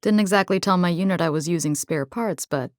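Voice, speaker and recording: An actress voicing a tough, caring young military rescue engineer in a video game, salty, wry and resolute. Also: female voice, on the low side, brisk, dry recording, clean studio sound, lightly acted. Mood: wry, bantering. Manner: salty, plain-spoken, wry. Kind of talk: scripted video game character voice lines.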